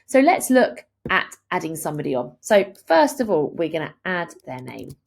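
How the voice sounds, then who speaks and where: A woman in her thirties giving an explanatory, step-by-step software walkthrough as a tutorial presenter. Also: on the low side, sing-song, moderate pace, gravelly. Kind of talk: instructional screen-share walkthrough.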